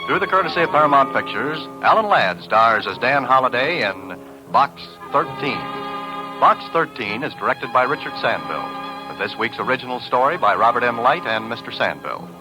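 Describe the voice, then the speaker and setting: A man's voice: mid-range voice, animated, normal speed, music in the background, poor audio. An American man reading credits in old-time radio announcer style. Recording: old radio broadcast recording.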